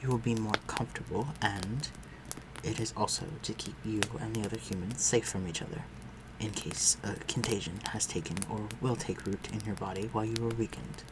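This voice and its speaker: Man acting as a protective male vampire master, lowish voice, formal old-fashioned phrasing, tender and reassuring, audio drama, clear ambient noise behind. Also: a little fast, close to an everyday voice. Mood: calm, caring. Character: courtly, protective male vampire lord, gentle with his human. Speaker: voice actor. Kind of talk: dramatic audio roleplay addressed to the listener.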